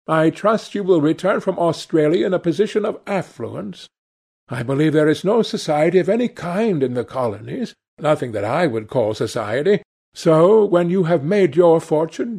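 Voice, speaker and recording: A man's voice: fairly high pitch, vocal fry, darkish tone, breathy edge, pitched above the performer's own voice, exaggerated voice. A man voicing an affected, self-dramatising middle-aged mother in a storybook, genteel and plaintive in manner.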